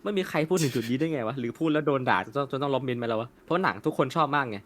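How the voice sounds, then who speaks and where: ผู้ชายพูดเร็ว ตรงๆ หยาบๆ. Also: male voice, mid-range voice, racing, a little hiss or hum. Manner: blunt, animated, swears freely. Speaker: co-host. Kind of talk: casual chat about a film.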